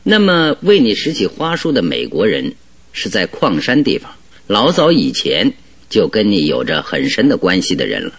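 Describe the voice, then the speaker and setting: Give the detective a lively, wide-ranging delivery with a husky edge. He is shrewd, genial and self-assured, laying out deductions expansively as in a Mandarin radio play. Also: fairly low pitch, normal speed, exaggerated voice. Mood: confident, explanatory. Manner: chuckling, expansive, leisurely explaining his deductions. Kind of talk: Mandarin radio drama acting.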